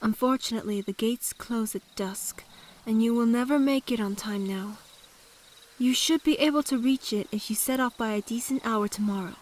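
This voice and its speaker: A mysterious woman of the woods played by a voice actress speaks in a fairly high voice with formal, measured, old-fashioned phrasing. She is calm and instructive, in audio drama style, with faint noise and crickets behind her. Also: close to an everyday voice, poor audio. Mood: calm instruction.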